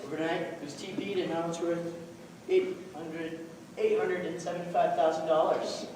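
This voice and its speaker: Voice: mid-range voice, little pitch movement, moderate pace, natural voice. A performer playing an earnest child talking to a parent in a stage play. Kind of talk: stage acting. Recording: echoey hall from far off, noisy.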